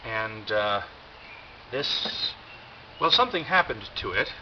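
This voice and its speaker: An American man giving a casual, rambling show-and-tell with wry, deadpan humour and a halting delivery. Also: fairly low pitch. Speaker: video host. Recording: dull lo-fi audio, loud background noise.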